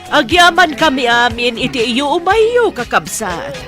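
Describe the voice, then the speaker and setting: A woman's voice: high-pitched, very animated, measured pace, fairly bright tone, soft background music, heavily acted. A woman playing a proud, welcoming mother in an Ilocano radio drama, with an Ilocano accent.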